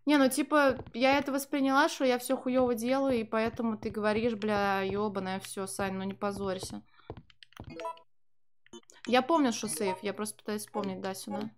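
A woman's voice: a little high, steady tone, normal speed, a little hiss or hum. A young woman streaming a game from a small room at home, chatting to viewers, swearing freely and translating the game's lines on the fly. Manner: profane, sardonic, chatting to viewers. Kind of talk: casual live game commentary with translation.

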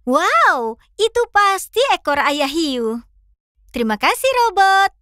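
A woman voicing a cheerful, eager young fox in a children's cartoon, animated and childlike as it addresses viewers. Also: sits high, takes it slowly, no echo, studio quality, full character voice. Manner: animated, childlike, clear instructing.

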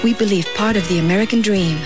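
A woman's voice: medium pitch, quick pace. A woman giving a scripted commercial narration as an announcer. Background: music.

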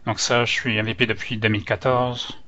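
Casting: male user group presenter, French-Canadian mixed accent, crisp bright tone, somewhat nervous, muffled online-call sound. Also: medium pitch, flat delivery, fairly quick. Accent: French with Canadian-influenced intonation. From France. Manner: hesitant, nervous speaker. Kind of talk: informal technical presentation. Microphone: online meeting microphone. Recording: dull, lo-fi online call audio.

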